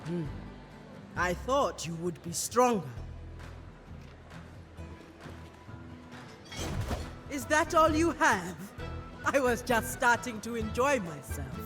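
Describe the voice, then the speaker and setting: Proud champion priestess: measured, commanding delivery, slow pace, game cutscene over loud music. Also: female voice, medium pitch, big pitch swings. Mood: taunting. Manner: measured, declamatory. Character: bold, commanding warrior priestess, proud champion of her people. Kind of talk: video game cutscene acting.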